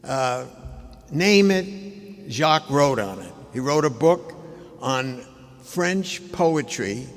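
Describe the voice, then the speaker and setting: An older man giving an anecdotal tribute speech at a ceremony, in a measured, halting way with pauses. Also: mid-range voice, animated. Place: large indoor ceremonial hall. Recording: reverberant large hall.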